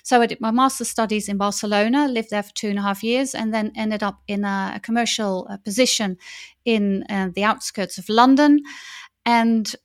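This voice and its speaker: A woman's voice: medium pitch, fairly even intonation, moderate pace. A Dutch woman in her thirties, a native Dutch speaker talking in English as a wedding officiant in a podcast interview, enthusiastic, with many fillers and restarts. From Netherlands.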